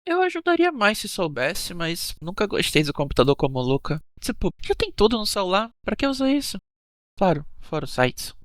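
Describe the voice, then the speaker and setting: A woman playing a touchy, evasive cruise hostess in an animated mystery, bickering and chatty, with a Brazilian accent. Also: medium pitch, a little fast, no echo, clean studio sound, natural voice. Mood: casual, chatty. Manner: defensive, gossipy, bickering. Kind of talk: animated murder-mystery dialogue.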